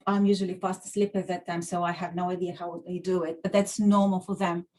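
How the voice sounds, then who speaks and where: A woman's voice: on the low side, little pitch movement, fairly quick, darkish tone. Bulgarian-accented woman, chatty. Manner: chatty, with frequent fillers and restarts. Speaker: guest speaker. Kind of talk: online talk. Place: home video call. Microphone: computer mic on a video call.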